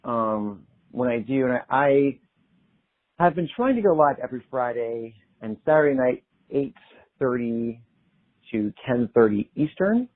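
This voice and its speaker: Young male singer-songwriter guest, relaxed and chatty, laughs easily; narrow phone-like sound. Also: mid-range voice, measured pace. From Pennsylvania. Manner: easygoing, chatty, laughs often. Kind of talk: casual interview chat. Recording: tinny phone-like line.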